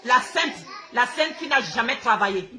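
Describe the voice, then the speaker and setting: A woman's voice: fairly high pitch, expressive, moderate pace, bright, forceful tone. A woman with a Gabonese accent, a native Fang speaker, ranting live to her followers in an outspoken, combative, repetitive way. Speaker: social media host.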